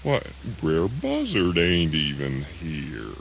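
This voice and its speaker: An actor playing a sly, folksy trickster rabbit in a children's radio drama, with a musing Southern American drawl. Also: male voice, deep voice, huge pitch swings, creaky, exaggerated voice. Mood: mock mournful. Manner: slow drawl, musing aloud, exaggerated whoops. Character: sly, folksy male trickster rabbit, mock-mournful and gleeful. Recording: hissy 1980s radio drama recording.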